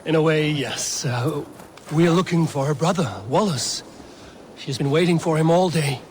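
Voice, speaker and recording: Man as an earnest, higher-voiced Norse warrior. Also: normal speed, darkish tone, a little hiss or hum, natural voice. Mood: earnest, courteous. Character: earnest, dogged Norse warrior on a woodland hunt. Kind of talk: video game dialogue.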